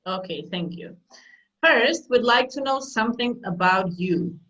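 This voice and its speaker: A woman acting as examiner in a mock speaking exam, asking set questions in a measured, formal way. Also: fairly high pitch, expressive, fairly bright tone, muffled recording.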